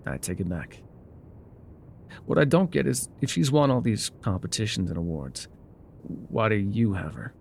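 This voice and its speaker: An actor playing a gruff, wry loner guide in an ASMR roleplay, with a dry, sardonic, unhurried delivery. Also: male voice, on the low side, lively intonation, a little mellow, a little hiss or hum. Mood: dry, curious. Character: gruff, wry loner man guiding a rider on foot.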